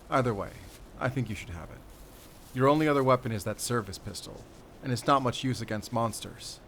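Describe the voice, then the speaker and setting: Casting: male actor as grave werewolf hunter and spy for audio drama; measured, earnest storyteller, middle pitch, ambient noise behind. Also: close to an everyday voice. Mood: grave, practical. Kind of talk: cinematic audio roleplay acting.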